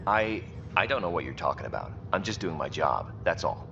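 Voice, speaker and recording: Bitter, low-voiced male station worker. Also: sing-song, brisk, exaggerated voice. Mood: defensive. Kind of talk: video game voice acting. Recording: muffled, clear vehicle-like background noise.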